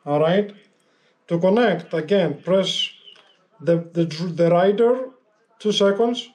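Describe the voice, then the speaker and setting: A man with a high-pitched voice talks through a casual hands-on tutorial. He explains step by step, haltingly, often repeating his instructions as he goes. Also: darkish tone. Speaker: motorcycle gear vlogger giving a tutorial.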